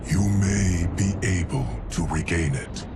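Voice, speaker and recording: A stoic guardian of time from a video game, speaks in a very low, unhurried, solemn tone. Each word lands measured and weighty. A clear musical score plays underneath. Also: male voice. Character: ancient stoic male timekeeper guardian. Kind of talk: video game cutscene acting. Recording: game audio with music bed.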